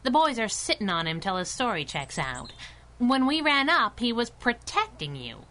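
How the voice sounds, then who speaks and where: Bright, sassy radio sidekick. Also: female voice, on the high side, big pitch swings, moderate pace. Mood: teasing. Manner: sassy, teasing, clipped. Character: wisecracking, tough, affectionate young superhero sidekick woman. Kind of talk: 1930s-style radio drama acting.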